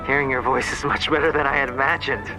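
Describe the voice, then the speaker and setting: An actor playing an earnest, smitten army recruit, speaking hesitantly in a naturalistic audio drama. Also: male voice, mid-range voice, fast, over music, natural voice, low-quality recording. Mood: tender, hesitant.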